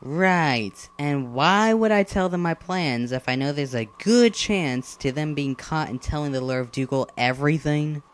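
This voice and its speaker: A man's voice: fairly high pitch, unhurried, few pauses, natural voice. Man voicing a theatrical, self-assured villain leader. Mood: secretive confident. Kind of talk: scripted animated drama dialogue. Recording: background music under the voice.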